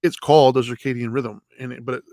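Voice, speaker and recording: A man co-hosting a casual talk show, explaining sleep habits in an easygoing way, often halting and restarting his sentences. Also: medium pitch, fairly even intonation, a little mellow, dry recording. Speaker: podcast co-host.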